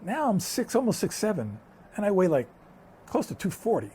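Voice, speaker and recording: A man's voice: high-pitched, normal speed, slight background noise. A man from the United States, a guest telling stories in a casual conversation. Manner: storytelling, animated and talkative.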